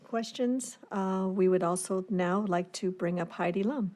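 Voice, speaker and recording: A woman's voice: mid-range voice, a little slow, mellow. A woman chairing a formal public meeting in a courteous, procedural manner. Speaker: committee chair.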